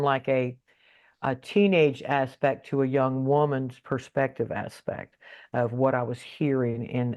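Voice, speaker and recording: A woman speaking as a spiritual guest in a casual interview, halting and repeating her words with many fillers over a video call. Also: extremely low pitch, darkish tone, highs cut off.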